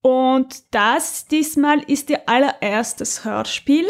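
A woman co-hosting a podcast, chatting in a relaxed, conversational way. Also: high voice, takes it slowly. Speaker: podcast co-host. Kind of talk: casual chat.

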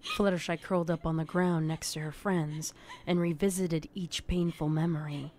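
A woman narrating a scripted horror story in a measured, dramatic storytelling manner. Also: deep voice, steady tone, a little mellow, a little hiss or hum.

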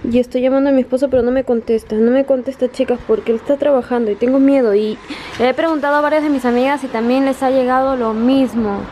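Young female vlogger, higher-pitched voice, chatty and confiding, handheld camera with noticeable background noise. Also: steady tone, quick pace, warm tone. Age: young adult. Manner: chatty, often addressing viewers directly. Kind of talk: casual confiding vlog chat. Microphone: camera at arm's length.

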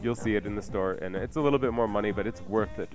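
A man's voice: lowish voice, fast talker. A man hosting a radio cooking show, chatting informally as he walks through recipes. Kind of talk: conversational recipe presentation. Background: music.

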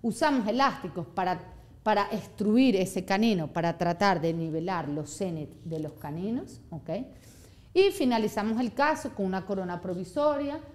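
Lively woman teaching a dental seminar. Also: medium pitch, moderate pace. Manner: animated, gestures a lot, checks in with "¿ok?". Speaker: orthodontist lecturer. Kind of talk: live seminar presentation. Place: small seminar room with audience.

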